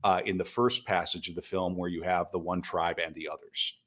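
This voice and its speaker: A man's voice: sits low, fairly even intonation, fairly quick, a bit bright. A man co-hosting a podcast, discussing film casually with thoughtful, hesitant phrasing. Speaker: podcast co-host.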